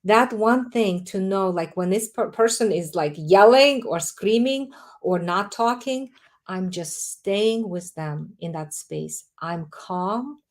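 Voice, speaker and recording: A woman, a guest author answering interview questions in a calm, emphatic, explanatory way. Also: medium pitch, slow.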